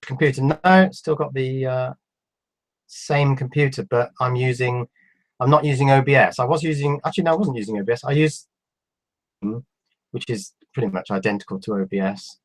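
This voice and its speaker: A man in his fifties with a cold, reading out viewer questions on a live stream and answering them in a relaxed, halting way. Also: mid-range voice. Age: 50s. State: has a cold. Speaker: electronics YouTuber answering live chat. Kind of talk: casual live stream chat.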